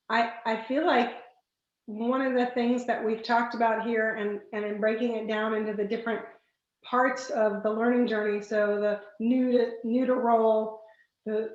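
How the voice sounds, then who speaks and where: A woman hosting an online discussion group, going hoarse and thinking aloud with many fillers and restarts. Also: a little high, roomy sound, dull audio. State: hoarse, losing her voice. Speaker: meeting facilitator.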